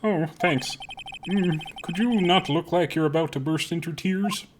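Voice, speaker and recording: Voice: mid-range voice, unhurried, background noise, natural voice. A streamer playing an earnest young male defense lawyer in a live game dub, with dry, sarcastic asides. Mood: wry, matter-of-fact. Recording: music playing behind the voice.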